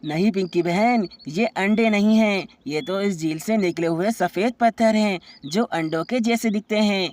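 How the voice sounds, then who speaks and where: A woman voicing a clever, helpful male insect in a children's animated story. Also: medium pitch, normal speed, hardly any pauses, natural voice. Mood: confident.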